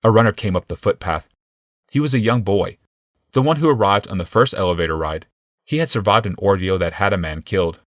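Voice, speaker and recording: A man's voice: lowish voice, fairly quick, no echo, clean studio sound. A man reading a scripted fantasy audiobook as its narrator.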